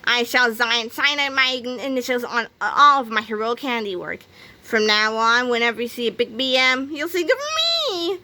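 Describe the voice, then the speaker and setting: A woman voicing a bombastic, bullying boy supervillain in a children's storybook, pompous and commanding with drawn-out shouts. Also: on the high side, lively intonation, a little hiss or hum. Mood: boastful.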